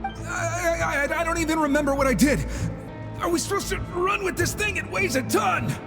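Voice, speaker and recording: A man's voice: very high voice, moderate pace, music in the background. A man playing a nervous, bumbling bandit underling in a game cutscene, anxious and prone to stammering. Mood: panicked. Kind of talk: video game cutscene acting.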